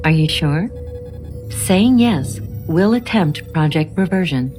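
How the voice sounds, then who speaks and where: An actress playing an impassive female computer assistant in an audio drama, giving measured, emotionless prompts. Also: sits low, animated, over music. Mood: impassive warning.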